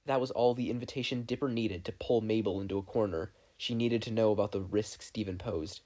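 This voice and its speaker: A narrator reading a fan fiction story aloud in an expressive, scripted style. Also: mid-range voice, fairly quick. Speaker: podfic narrator.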